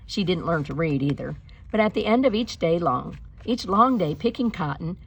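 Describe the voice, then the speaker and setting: A woman with a low, husky voice reads a storybook aloud in the manner of a teacher. Her phrasing is deliberate, expressive and instructive. The sound is close and dry, with very little room around it. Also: no room sound. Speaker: teacher reading a picture book aloud to pupils.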